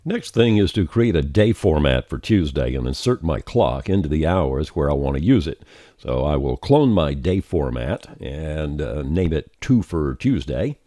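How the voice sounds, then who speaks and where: A man narrating a software tutorial step by step in a folksy, conversational way. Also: sits low, dry recording, studio quality. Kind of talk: scripted tutorial narration, explaining steps on screen.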